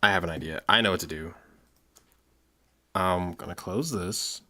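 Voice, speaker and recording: A man chatting casually on a livestream while he works, thinking aloud in a halting, rambling way with repeated phrases. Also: sits low, sharp tone. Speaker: livestream host and audio drama producer.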